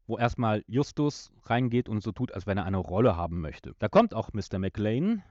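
A man co-hosting a podcast, retelling a story in a casual, semi-scripted way and often halting to correct himself mid-word. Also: on the low side, darkish tone, no echo. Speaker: podcast co-host. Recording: slightly dull, dry lo-fi microphone.

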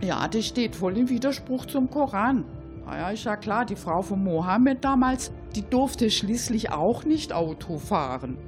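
A woman's voice: mid-range voice, expressive, a little slow, faint music behind, close to an everyday voice. A woman playing a gossipy, opinionated Swabian cleaning lady in a comic radio monologue, folksy and mock-naive. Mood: indignant. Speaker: cleaning lady character in a satirical radio show.

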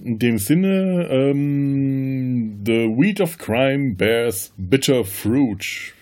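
A man's voice: lowish voice, mellow. A man hosting a podcast, talking casually about film. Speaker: podcast host.

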